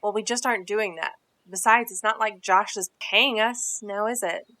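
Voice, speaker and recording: A woman's voice: mid-range voice, steady tone, normal speed, sharp tone, lightly acted. A woman playing a blunt, bossy teenage girl in an audio drama, speaking in a brusque, slangy, impatient way. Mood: dismissive.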